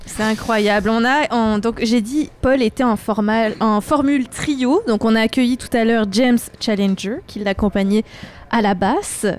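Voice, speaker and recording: A woman hosting an interview, reacting with quick enthusiasm and asking questions. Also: fairly high pitch. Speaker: host.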